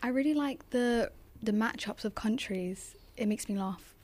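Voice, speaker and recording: A woman's voice: on the high side, measured pace, darkish tone, dry recording. A woman on a radio panel, chatting casually with playful quips. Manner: playful, quipping. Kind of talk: casual chat.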